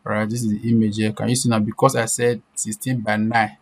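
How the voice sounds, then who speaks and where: A man's voice: lowish voice, flat delivery, a little fast. A man presenting an informal step-by-step screen-share tutorial, repeating himself and often checking in with short questions. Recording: clear background noise.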